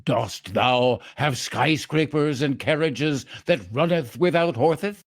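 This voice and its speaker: A man playing a pompous, inquisitive medieval king in 1940s radio comedy style, with grandiose archaic phrasing. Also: a little high. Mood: grandly inquisitive. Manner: grandiose, drawn-out archaic speech.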